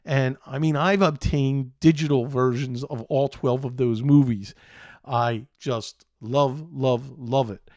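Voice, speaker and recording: A middle-aged man hosts a show in a casual, conversational style. He takes his time, rambling and pausing as he goes, with clear enthusiasm. His mid-pitched voice sounds dry, with very little room around it. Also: steady tone, darkish tone, dry recording. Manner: rambling, enthusiastic, halting delivery. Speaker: host of a tech show. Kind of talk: casual solo chat to viewers.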